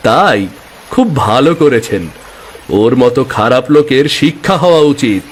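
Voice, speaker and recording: A man's voice: medium pitch, lively intonation, normal speed, natural voice. A man voicing a poor, honest, lovestruck young village boatman in a cartoon tale, polite and emotional. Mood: approving. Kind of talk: Bengali fairy-tale cartoon dialogue. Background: music.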